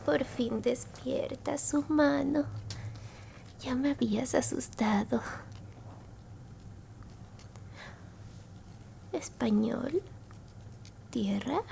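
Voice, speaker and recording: A woman voicing a kindly elderly alien grandmother in a slow, hushed, soothing ASMR roleplay. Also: high voice, creaky, a little hiss or hum, exaggerated voice. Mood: gentle concern.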